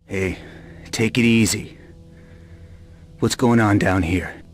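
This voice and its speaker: A cool game adventurer talks in a low voice with music behind. He is dry, sardonic and brusque, a tough guy. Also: slow pace. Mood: urgent, brusque. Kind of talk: video game cutscene acting.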